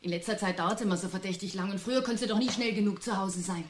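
A mature, jealous wife, played by a woman speaking at a medium pitch with biting sarcasm. Her voice echoes in the room over faint noise, in the manner of a domestic radio play. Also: fairly even intonation, quick pace, lightly acted. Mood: suspicious, sarcastic. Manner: biting, mocking, insinuating. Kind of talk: German radio play acting.

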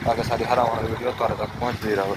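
A man with a Saraiki accent talks casually to his phone as he vlogs around a village. His voice sits at a middle pitch. The recording is clearly noisy, made outdoors by the road. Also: completely flat delivery, racing. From rural Pakistan. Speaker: vlogger. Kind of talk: casual village vlog, chatting with locals. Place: outdoors on an open village roadside. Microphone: handheld phone at arm's length.

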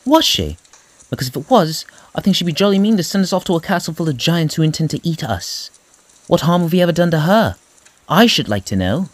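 A man plays an outspoken schoolboy in a fantasy audio drama. His voice is fairly high, animated and dry, blunt and full of indignation. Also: fairly quick, no room sound. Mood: indignant. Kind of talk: fan audio drama acting.

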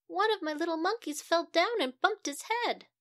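A woman's voice: very high voice, lively intonation, normal speed, a bit bright, dry recording, voice pushed up. An evasive, fretting monkey mum from a storybook as a woman narrator reads her. The recording is dry and close, with little room sound. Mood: fretful, urgent.

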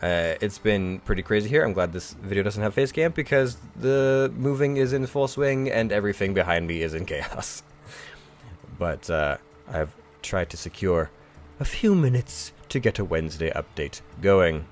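A man's voice: on the low side, lively intonation, normal speed, slight background noise. A man doing casual gameplay commentary with a slightly congested, froggy voice, rambling, chuckling and thinking aloud. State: congested, a bit froggy from the sniffles. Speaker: gaming YouTuber.

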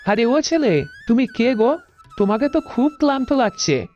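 A woman's voice: a little high, very animated, moderate pace, loud music behind the voice. A woman voicing a kindly, mysterious old woman of the forest in a cartoon, compassionate and folksy, in a lo-fi recording. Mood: kindly, concerned. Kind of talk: cartoon morality tale dialogue.

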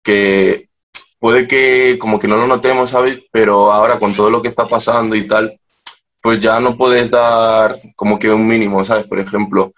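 A young man from Madrid speaks on a video call, his voice sitting fairly low. He tells his stories in a relaxed, chatty way and slips into other people's voices when he quotes them. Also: normal speed. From Spain, Madrid. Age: young adult. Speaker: panelist. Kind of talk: casual chat on a video call.

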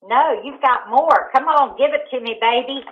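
A woman's voice: high voice, normal speed, heavy background noise. A woman with a Southern US accent talking casually on the phone in a defiant, goading way. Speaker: prank call target. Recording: muffled telephone call.

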